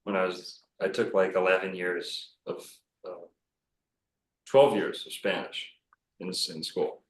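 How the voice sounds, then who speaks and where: Man, very low voice, rambling, self-assured, casual podcast guest, roomy echo. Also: fairly even intonation, slow, slight background noise. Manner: rambling, self-assured, many fillers and restarts. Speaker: podcast guest, bookstore owner.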